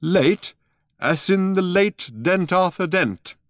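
A man voicing a mild, polite old male planet-builder who talks slowly and wistfully, raised above his own voice, recorded dry and close. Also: mid-range voice, expressive, dry recording, pitched above the performer's own voice, natural voice. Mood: stern. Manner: slow and drawn out, mild and courteous.

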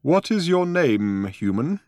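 A man voicing a mild, polite old male planet-builder who talks slowly and wistfully, raised above his own voice, recorded dry and close. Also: on the high side, very animated, darkish tone, no echo, voice pushed up. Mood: stern. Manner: slow and drawn out, mild and courteous.

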